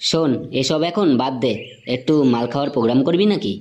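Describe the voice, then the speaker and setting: A man's voice: medium pitch, animated, fast, lo-fi sound, very noisy, natural voice. A man voicing a cocky, irritable young slacker in a comedy cartoon, with brash slangy retorts.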